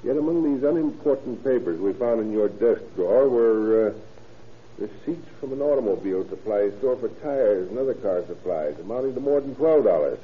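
An actor playing a probing, wry and stern American police lieutenant in an old-time radio detective drama, controlled and authoritative. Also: male voice, fairly low pitch, animated, moderate pace, lightly acted. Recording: tinny, noisy vintage radio recording.

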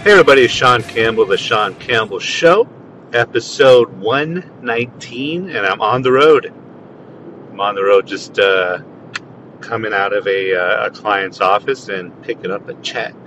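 A man's voice: moderate pace, a bit bright, low-quality recording. A man hosting his podcast from his car, talking casually in a rambling, self-amused way with many restarts. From Tucson, Arizona. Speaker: podcast host. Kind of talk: casual solo podcast monologue. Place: in a car driving. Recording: faint road rumble from the car. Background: car engine rumble.

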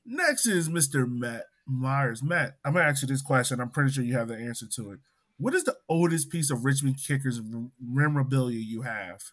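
A man's voice: medium pitch, animated, a little fast, a bit bright. A man hosting a casual sports podcast, introducing guests in an upbeat, teasing way. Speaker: podcast host.

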